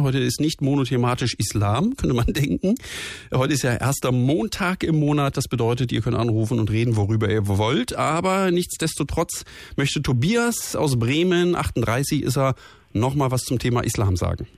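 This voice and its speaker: A man's voice: medium pitch, very animated, moderate pace, no echo, clean studio sound. A man hosting a call-in radio show in casual, informal talk, often jumping in with short interjections. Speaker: radio phone-in host.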